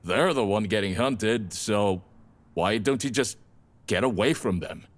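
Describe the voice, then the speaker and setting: A man's voice: lowish voice, measured pace, natural voice. A man playing a laid-back, blunt rebel vampire in an audio drama, talking in casual slang. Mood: probing.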